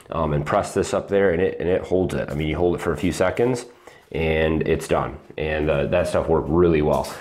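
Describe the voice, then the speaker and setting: A man in his thirties with a very low, deep voice walks through his unfinished basement project. He talks casually and haltingly, full of ums and restarts, recorded on a lapel mic indoors. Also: a little mellow. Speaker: DIY home renovation vlogger. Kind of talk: casual walkthrough explanation. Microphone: lapel mic, handheld camera.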